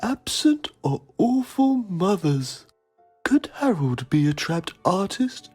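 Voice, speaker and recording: A man's voice: high voice, sing-song, takes it slowly, darkish tone, breathy edge, faint music behind, full character voice. A man playing a pompous TV journalist, narrating a mock documentary in a solemn, melodramatic manner. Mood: grave, pompous.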